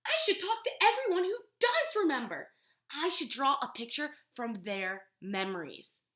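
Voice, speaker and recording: A woman's voice: high-pitched, sing-song, a little slow, sharp tone, voice pushed up, full character voice. A woman reading a storybook, voicing an earnest little schoolgirl who is puzzled yet eager. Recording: roomy, echoey room sound.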